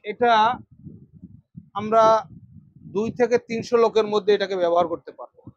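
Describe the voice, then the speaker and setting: A Bangladeshi man gives a product demonstration in a notably high voice. He addresses viewers directly and repeats phrases to press his points. The sound is dry and somewhat dull. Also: measured pace, no room sound. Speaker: electronics shop presenter. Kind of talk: product demonstration sales pitch.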